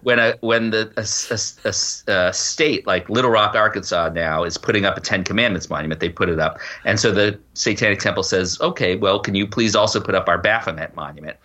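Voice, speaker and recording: A man being interviewed as a guest author, explaining things casually and haltingly, with informal asides. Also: fairly low pitch, sharp tone. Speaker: podcast guest, law professor and author.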